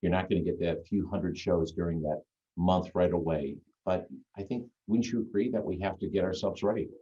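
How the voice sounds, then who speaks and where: Deep radio-voiced man on a muffled call. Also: fairly quick, warm tone. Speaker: guest magician. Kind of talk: casual chat on a video call. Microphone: video call. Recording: dull lo-fi video-call audio.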